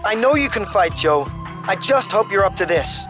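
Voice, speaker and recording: A man's voice: high-pitched, lively intonation, moderate pace. A man playing a no-nonsense rescue commando in a video game, talking in clipped military phrases. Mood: urgent, businesslike. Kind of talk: video game cutscene acting. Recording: music behind.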